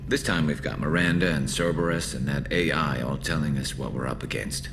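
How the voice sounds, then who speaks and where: A man playing a loyal, battle-weary male alien soldier in a video game, with a dry, wry, measured delivery. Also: a bass voice, background noise. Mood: wry, relaxed.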